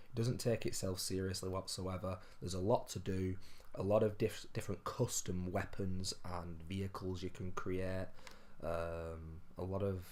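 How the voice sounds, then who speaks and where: A man with a sore throat, his voice hoarse, low and gruff, chatting casually to viewers about games he has bought. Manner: chatty, addresses viewers as ladies and gentlemen. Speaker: gaming vlogger. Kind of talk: casual game pickups vlog.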